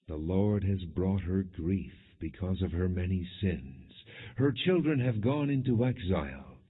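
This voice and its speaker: Through narrow, phone-like audio comes a man with a low, husky voice. He reads scripture as a solemn audiobook narrator. His phrasing is measured and deliberate, each verse set apart. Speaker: audiobook Bible reader.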